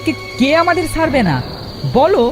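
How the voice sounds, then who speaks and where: Cartoon big brother played by a woman: scared village boy, excitable and lively, high pitch, soft music behind. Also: moderate pace. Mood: frightened, pleading. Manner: pleading, excitable. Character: frightened village boy, protective older brother.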